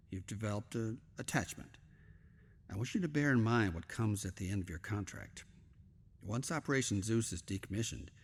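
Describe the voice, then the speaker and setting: A man's voice: lowish voice, slightly rough. A man playing a stern military admiral, speaking with clipped authority in a dramatic scripted scene. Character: stern, commanding male admiral running covert operations.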